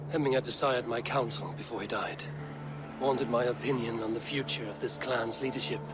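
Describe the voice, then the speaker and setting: An actor playing a calm, dutiful Viking warrior in a video game cutscene, with outdoor noise behind. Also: male voice, mid-range voice, moderate pace, music in the background, natural voice. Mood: solemn, steady.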